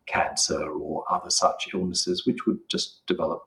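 A middle-aged man with a very low voice speaks as an expert guest. He explains things slowly and methodically, with pauses to think. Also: flat delivery, a bit bright. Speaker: psychiatry professor and interviewed guest.

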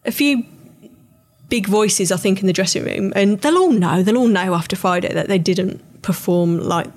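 An English woman co-hosting a football podcast, calm and measured, with frequent ums and restarts. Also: mid-range voice. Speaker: podcast co-host. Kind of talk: casual chat.

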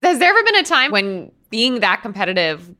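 A woman's voice: fairly high pitch, sing-song, brisk, sharp tone. A woman hosting a casual, conversational interview, asking questions and chatting. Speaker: interview host.

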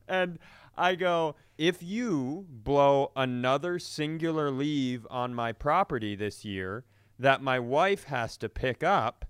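Guy on a comedy podcast, smooth clear voice, very dry close sound, griping and swearing through his story. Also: medium pitch, animated, takes it slowly, a bit bright, no echo. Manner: indignant, profane, acts out quoted exchanges. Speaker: podcast co-host.

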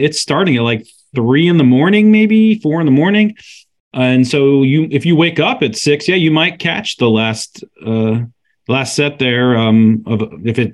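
Laid back guy on a sports podcast, mid pitch, dry humor. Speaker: podcast co-host. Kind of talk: casual sports chat.

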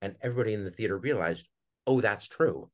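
A man's voice: fairly low pitch, fast talker. A middle-aged man with a Minnesota accent, talking earnestly and reflectively as an interview guest. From Minnesota, United States. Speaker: podcast guest, theater director. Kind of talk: conversational interview.

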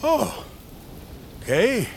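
A timid, deferential attendant, speaks haltingly in a high voice with slow pacing. He is tentative and careful. Faint ambient noise sits behind the audio drama. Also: wildly swooping pitch, heavily acted. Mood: timid concern. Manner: hesitant, tentative.

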